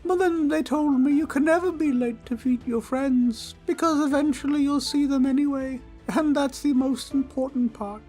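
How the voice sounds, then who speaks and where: A performer voicing a small, friendly teddy bear, naive and earnest, speaking gently and politely in an ASMR comfort roleplay. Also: high-pitched, fairly even intonation, moderate pace, few pauses, soft background music, lightly acted. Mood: cheerful, naive. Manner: gentle, innocent, polite, chatty.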